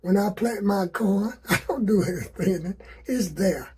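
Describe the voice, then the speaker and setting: A man from South Carolina speaks slowly in a hoarse, deeply husky voice. His tone is mellow and folksy as he offers gardening advice to his viewers, repeating his sayings to drive them home. He talks from outdoors in his vegetable garden. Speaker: gardening vlogger.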